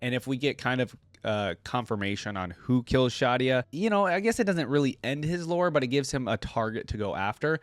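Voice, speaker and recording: A man's voice: medium pitch, sing-song, fairly quick, few pauses, no room sound. A man giving casual, rambling commentary as a reaction video host, conversational and unscripted. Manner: rambling, conversational, often adds "like" and "right?".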